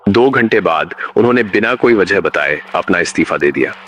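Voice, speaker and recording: A man narrating a scripted horror story in a measured, ominous manner. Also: low voice, lively intonation, a bit bright, a little hiss or hum.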